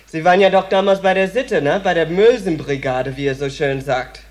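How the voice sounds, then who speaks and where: A man's voice: a little high, fairly quick, lightly acted. A man playing a brash, mocking, street-tough transvestite prostitute with an insolent manner in a German radio crime drama. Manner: insolent, taunting.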